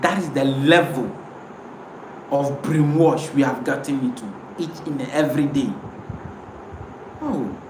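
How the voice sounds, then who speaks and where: A woman's voice: rumbling low, unhurried, long pauses, darkish tone. Ghanaian woman host, emphatic and preachy live talk, roomy sound with light noise. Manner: emphatic, repeats words, preachy.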